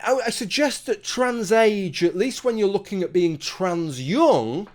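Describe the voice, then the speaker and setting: A man's voice: fairly high pitch, darkish tone. A man delivering a conversational opinion monologue, arguing his point with rhetorical asides. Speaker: commentary video host.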